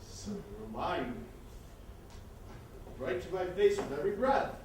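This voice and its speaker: An actor playing a hot-headed, jealous young lover, indignant and forceful in a comic stage reading. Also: male voice, sits high, big pitch swings, slow pace, full character voice. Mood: outraged. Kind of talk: comic stage acting, readers theatre. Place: indoor stage or hall. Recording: echoey hall, distant mic, faint noise.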